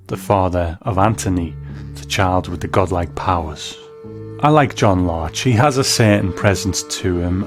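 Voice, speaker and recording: Male host for scripted review commentary: lowish voice, measured and explanatory, plucked guitar music underneath. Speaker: host of a television review show.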